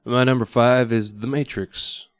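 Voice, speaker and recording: A man speaking casually as a guest on a podcast, halting now and then as he thinks aloud. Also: mid-range voice, fairly even intonation, no room sound. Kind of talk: casual chat. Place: podcast studio.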